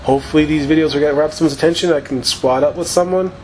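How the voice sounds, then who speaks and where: A man giving loose, profane, rambling commentary over his own gameplay, his voice slightly hoarse after a long session. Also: a little high, quick pace, slight background noise. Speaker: gaming YouTuber.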